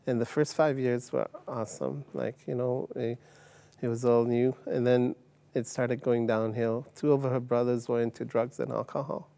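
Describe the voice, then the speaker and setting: A man from Uganda tells his story on a TV studio set, halting often with fillers and restarts. His voice is mellow and dark. It is picked up dry and close by a lapel mic. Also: mid-range voice, little pitch movement, creaky, no room sound. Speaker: guest. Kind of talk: telling his life story in a TV interview.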